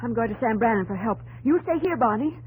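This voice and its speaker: An actress playing a principled, worried young American schoolteacher on the frontier, earnest and proper, in a 1950s radio drama. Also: female voice, fairly high pitch, animated, quick pace, darkish tone, husky. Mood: distraught. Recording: noisy old radio broadcast with hum.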